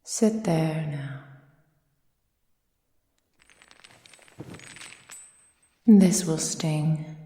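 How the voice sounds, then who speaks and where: Female vampire mistress, played by a woman, ASMR roleplay: very low, unhurried, possessive and condescending, half-whispered, in a reverberant room. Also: mellow. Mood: stern tending. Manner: silky, measured, formal, often dropping into breathy whispers. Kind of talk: slow, intimate ASMR roleplay. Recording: echoey room with long reverb.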